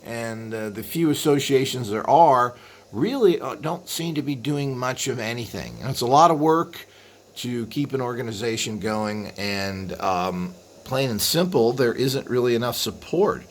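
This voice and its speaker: A man's voice: medium pitch, lively intonation. Rambling man explaining over background noise. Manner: rambling, halting with frequent trailing asides. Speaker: video host. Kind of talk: unscripted explanatory monologue. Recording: clearly audible background noise.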